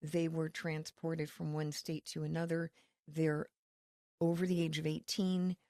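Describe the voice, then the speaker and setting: A woman from Connecticut, a ministry leader, explaining her work in an earnest, faith-filled, measured way as a podcast guest. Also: deep voice, flat delivery, warm tone, dry recording, studio quality. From Connecticut, USA. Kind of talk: podcast interview.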